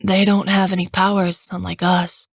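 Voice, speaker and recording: Woman doing a soft reassuring teen boy. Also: on the low side, little pitch movement, normal speed, vocal fry, husky, dry recording, clean studio sound. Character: gentle teenage boy comforting his sister.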